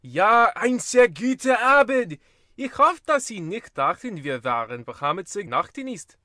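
A man voicing a loud, emphatic radio presenter, in a parody broadcast. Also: sits high, big pitch swings, measured pace, no room sound, studio quality, heavily acted. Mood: booming greeting. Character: bellowing German radio presenter.

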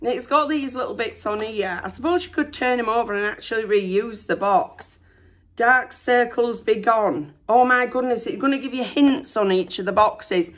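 A middle-aged woman talking casually into a camera at home. Her voice is hoarse and a bit rough from a recent illness. She rambles chattily and sounds excited and self-deprecating. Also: on the high side, normal speed. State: hoarse, recovering from lost voice. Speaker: vlogger. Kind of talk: casual chat. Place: bedroom at home, indoors.

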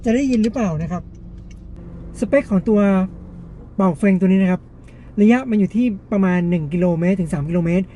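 A man's voice: sits high, fairly quick, pauses often, warm tone, a little hiss or hum. A man hosting a casual gadget test, explaining things step by step to viewers in a polite way. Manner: explanatory, frequent polite particles. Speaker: gadget review host.

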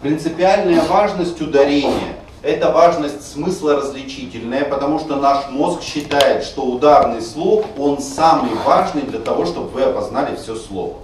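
A man's voice: on the high side, moderate pace, hardly any pauses, a little mellow. A male teacher explains pronunciation rules and sounds out example words. He is picked up by a distant mic, so the voice comes across roomy and heavily echoed. A faint hiss sits underneath. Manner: explanatory, demonstrating pronunciation aloud. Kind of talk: screencast lecture on Russian stress.

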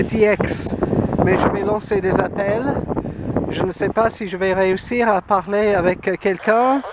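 A man with a fairly high voice talks casually and slowly to his handheld camera. He pauses between short phrases, hesitating as he goes. Clear outdoor noise with some traffic sits behind him. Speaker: amateur radio hobbyist. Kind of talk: casual narrated vlog. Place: outdoors on a grassy hill. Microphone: handheld camera microphone.

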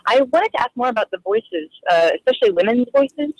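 A man's voice: extremely high pitch, lively intonation, a little fast, no room sound, poor audio. A man calling into a radio show, asking an eager, enthusiastic question. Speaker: caller.

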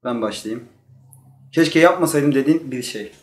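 A man's voice: medium pitch, normal speed. A young man co-hosting a talk show with casual, joking chat. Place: small indoor studio set with curtain. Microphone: one shared table microphone, off from mouth. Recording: echoey room with loud group noise.

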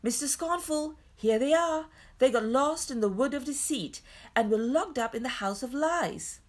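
A woman's voice: high voice, lively intonation, normal speed, voice pushed up. A woman voicing an indignant, scolding boy in a children's storybook, urgent in manner.

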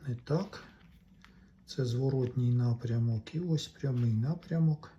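A man with a soft, dark-toned voice talks viewers through hands-on repair work. His delivery is casual and unhurried, at an average male pitch. A faint layer of ambient noise sits behind him. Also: highs cut off. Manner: unhurried, talks along while working, addresses viewers. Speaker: electronics repair video maker.

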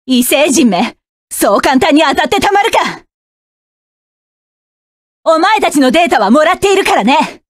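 A woman's voice: high voice, no echo, studio quality. A woman voicing a proud, fierce young woman mech pilot who barks curt, commanding and scornful lines. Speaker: voice actress. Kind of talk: game voice clip collection.

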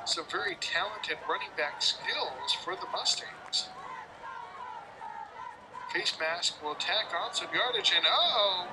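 Male announcer, higher voice, very animated delivery, noisy stadium backdrop. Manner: lively, fast play-by-play with rising excitement on big plays. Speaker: play-by-play announcer. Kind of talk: high school football commentary. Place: outdoor high school football stadium at night.

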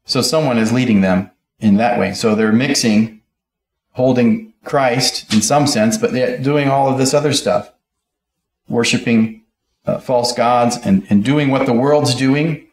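A man's voice: medium pitch, unhurried, reverberant. A middle-aged man preaching a sermon at a pulpit, reading scripture aloud and explaining it verse by verse. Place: church auditorium, speaking at a pulpit.

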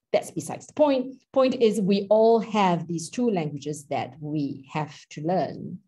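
A middle-aged woman with a Singaporean English accent gives an academic talk over a video call. Her voice sits a little low and sounds mellow and slightly husky. She speaks in a loose, conversational way, full of small fillers and hedges. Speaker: linguist lecturer. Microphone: laptop webcam on video call.

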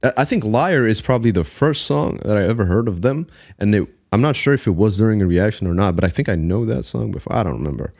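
Deep-voiced excitable reaction streamer man. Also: quick pace, vocal fry. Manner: excitable, slangy, breaks into laughs and hums. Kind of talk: live reaction stream, casual chat. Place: small room with blue lights, gaming chair. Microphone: studio mic on arm, headphones.

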